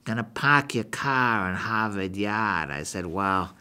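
An older man with a New England accent talks as the host of a rambling monologue. His delivery is halting and folksy, and he often circles back over his own words. He speaks indoors in a small meeting room at a desk microphone. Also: lowish voice, lively intonation, noisy background. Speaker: show host. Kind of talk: rambling monologue book review.

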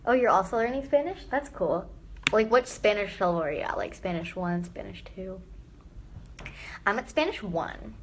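A teenage girl chatting casually on a live stream from her phone, answering viewer comments with lots of "like" and trailing off into quiet asides. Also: medium pitch, lively intonation, normal speed, a little hiss or hum. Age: teens. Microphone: phone front camera held close.

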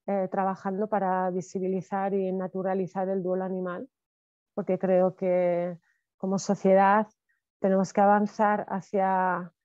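A woman in her thirties, a guest speaker talking reflectively on a video call from home, with soft fillers and restarts. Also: mid-range voice, dry recording. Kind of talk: conversational talk. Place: home room with wood ceiling. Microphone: video call with earphones. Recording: slightly dull video call audio.

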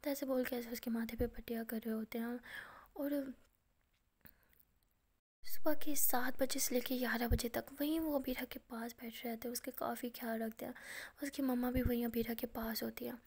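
A woman retelling a family drama in a steady whisper. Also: on the high side, normal speed, breathy edge. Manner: whispering throughout. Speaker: storyteller.

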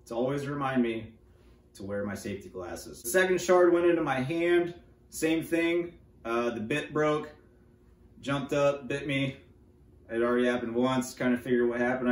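A man in his thirties with a North American accent, casually telling stories as a mechanic in a plain-spoken, matter-of-fact way. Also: medium pitch. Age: 30s. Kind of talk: casual storytelling to camera. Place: wooden workshop or garage, indoors. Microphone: camera a few feet away. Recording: roomy echo, distant microphone.

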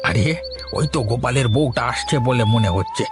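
A man's voice: medium pitch, brisk, close to an everyday voice. A man voicing a gossiping, spiteful old village uncle in a cartoon, with a needling, grudging manner. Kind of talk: Bengali cartoon story voice acting. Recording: music behind the voice.

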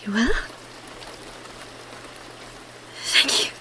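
A woman's voice: brisk, noisy background, close to an everyday voice. A woman playing a cunning female vampire who feigns weakness, in an ASMR roleplay. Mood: weary, resigned.

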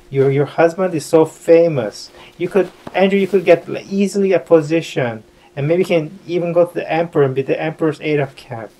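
A man's voice: fairly high pitch, warm tone, pitched above the performer's own voice, natural voice. A man voicing a fussy, chattering young princess in a puppet show.

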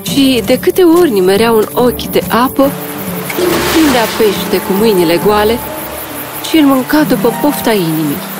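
A woman's voice: fairly high pitch, animated, over loud music. A woman reading scripted fairy tale narration as a storyteller.

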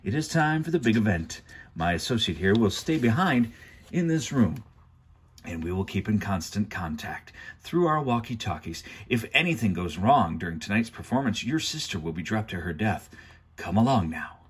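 A man reading aloud and voicing a scheming theatrical villain who drags out his words with slow menace. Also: lowish voice, big pitch swings, husky, exaggerated voice. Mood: menacing.

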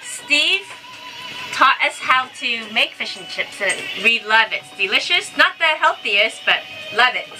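A woman's voice: on the high side, animated, measured pace, music in the background. A woman chatting casually and cheerfully as she hosts a cooking vlog in a small boat galley. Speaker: vlog host. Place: small sailboat galley indoors. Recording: noisy on-camera boat galley audio.

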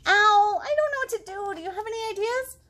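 A woman's voice: very high-pitched, fairly quick, pushed and bright, pitched above the performer's own voice. A woman voicing a bored, restless young boy frog from a picture book, whiny and exaggerated.

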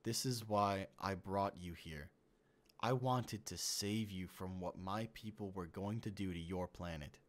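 A man playing an inhuman host who poses as a trusted friend in a comic dub, speaking in a measured, reassuring way. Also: low-pitched. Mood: calm, ominous.

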